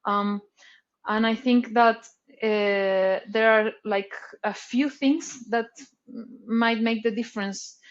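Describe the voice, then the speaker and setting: A woman's voice: fairly high pitch, fairly even intonation, takes it slowly. Woman, lo-fi. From Chile. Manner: thoughtful, searching for words with many "like"s and restarts. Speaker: artist guest. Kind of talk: casual interview talk.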